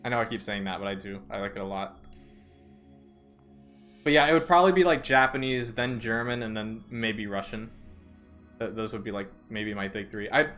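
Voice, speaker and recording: A man's voice: on the low side, normal speed, long pauses, fairly bright tone, soft background music. A young man streaming a video game, chatting casually, reading game text aloud and tripping over names. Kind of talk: casual let's-play chat.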